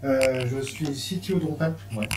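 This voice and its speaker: A man with a French accent co-presenting at a tech meetup, speaking haltingly with many fillers. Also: medium pitch, roomy sound, very noisy. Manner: halting, filler-heavy self-introduction. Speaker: co-presenter, technical lead. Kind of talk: casual conference talk. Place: meetup room with audience.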